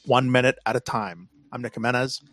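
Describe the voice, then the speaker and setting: A man hosting a film podcast, casually analytical, with measured and articulate phrasing. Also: medium pitch, fairly bright tone, soft background music. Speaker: podcast co-host.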